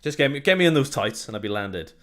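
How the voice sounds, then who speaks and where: A man's voice: medium pitch, sing-song, fast, a bit bright. A young man from Wales with a light Welsh accent, co-hosting a casual tech podcast in a chatty, joking, rambling way. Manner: chatty, jokey, rambling with restarts. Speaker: co-host.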